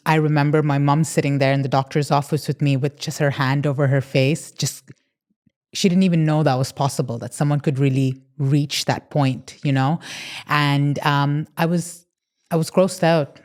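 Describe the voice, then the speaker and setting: A woman's voice: low voice, darkish tone. A woman talking as a podcast guest in a casual interview, earnest and open, with frequent "yeah" and "you know" fillers. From grew up between Dubai and Toronto, Canada. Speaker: podcast guest, emotional eating coach.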